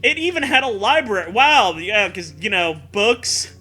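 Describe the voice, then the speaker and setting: A man with a very high-pitched, piercingly bright voice reads a silly story aloud and riffs on it. His delivery is profane and mock-dramatic, swinging widely in pitch, with music behind him. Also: sing-song, normal speed. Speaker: co-host reading fan fiction aloud. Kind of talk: comedic read-aloud with riffing.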